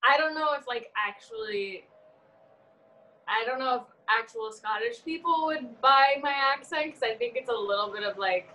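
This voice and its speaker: A woman's voice: on the high side, animated, normal speed, bright, forceful tone, rough recording. A woman chatting casually and informally as a guest on a podcast. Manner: informal, frequent "like". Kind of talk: casual chat.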